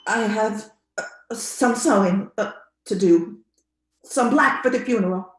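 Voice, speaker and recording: An actress playing a matronly sister in an old-time radio style ghost drama. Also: female voice, mid-range voice, measured pace, roomy sound, natural voice. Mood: shaken, faltering. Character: Mrs. Brigham, placid matronly sister turning horrified.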